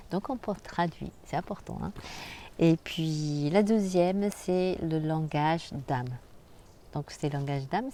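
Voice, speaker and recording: A woman hosting a spiritual channel, talking casually and directly to the viewer in a soft, halting way with frequent hesitations. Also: low-pitched, slight background noise. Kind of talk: casual spoken vlog monologue.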